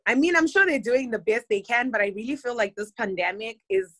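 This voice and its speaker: A woman's voice: a little high, animated, fairly quick, fairly bright tone. A woman from Zimbabwe, a guest musician, chatting easily in an interview and laughing often. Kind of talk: casual interview chat. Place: based in London, on a remote call.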